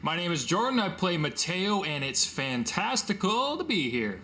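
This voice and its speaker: A man's voice: high-pitched, big pitch swings, normal speed, bright, forceful tone. A man playing a tabletop role-playing game, chatting casually and improvising in character. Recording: noticeable background noise.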